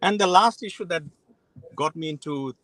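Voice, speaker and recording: A man's voice: fairly high pitch, normal speed, a bit bright, no echo. A man in his forties, born in Bangladesh, speaking frankly and reflectively as an interview guest, often restarting his sentences. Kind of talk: interview conversation.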